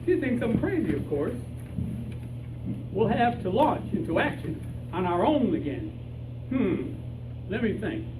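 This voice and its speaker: A man's voice: high voice, big pitch swings, unhurried, long pauses. A man playing an anxious, naive amateur detective in an old-time radio comedy, hesitant and earnest. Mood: scheming. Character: anxious, naive male amateur detective hunting his lost canary. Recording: echoey room from far off, noisy.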